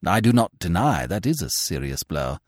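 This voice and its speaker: Brief: male reader as an insistent young gentleman, earnest, lively delivery. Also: low-pitched, moderate pace, no echo, clean studio sound.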